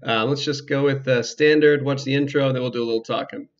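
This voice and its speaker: A man's voice: mid-range voice, fairly even intonation, fast. A man giving casual, hesitant commentary as he plays a video game, thinking aloud. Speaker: gameplay video host. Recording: slightly dull lo-fi mic, clicking.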